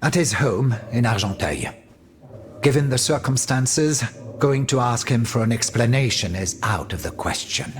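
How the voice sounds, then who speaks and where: A man's voice: mid-range voice, animated, normal speed, close to an everyday voice. An actor playing a flamboyant, indignant French nobleman in a video game, with a florid, declamatory manner. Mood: bitter indignation. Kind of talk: video game cutscene dramatic acting.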